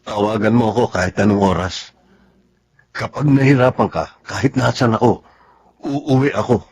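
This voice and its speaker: A man's voice: lowish voice, moderate pace, a little mellow, slightly rough. An actor playing a devoted young soldier husband and new father in Filipino radio drama, tender and earnest. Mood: tender, reassuring.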